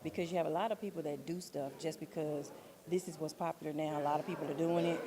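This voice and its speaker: Low-voiced earnest woman, crowd behind her. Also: fairly even intonation. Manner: earnest, faith-minded, deliberate. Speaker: playwright, director and producer being interviewed. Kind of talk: earnest interview answers.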